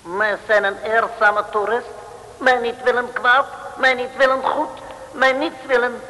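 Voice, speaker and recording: An actor playing a cartoonish foreign villain in an old radio comedy, wheedling in singsong broken phrases. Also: male voice, very high-pitched, flat delivery, unhurried, pushed and bright, heavily acted. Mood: evasive. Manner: singsong, broken grammar, pleading. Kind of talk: mid-century comic radio serial acting. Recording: reverberant old radio recording.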